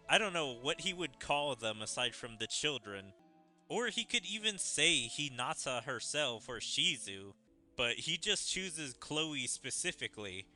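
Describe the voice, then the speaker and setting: A man's voice: mid-range voice, sharp tone. A man giving casual gameplay commentary, thinking aloud about the story as he goes. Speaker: gaming streamer reading game dialogue aloud. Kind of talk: gameplay commentary with dramatic read-aloud of subtitles.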